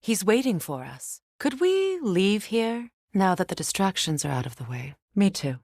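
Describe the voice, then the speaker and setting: An actress playing a proud, battle-hardened middle-aged warrior goddess in a video game, with a measured, commanding, dry manner. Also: female voice, mid-range voice, big pitch swings, a little mellow, no echo. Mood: composed, dry. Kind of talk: video game character dialogue and battle callouts.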